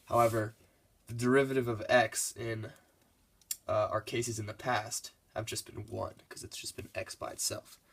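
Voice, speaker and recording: A man's voice: fairly low pitch, fairly even intonation, moderate pace, a bit bright, husky. A congested young man giving a casual, laid-back math lesson over his worked problems. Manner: laid-back, loose and casual, with many fillers.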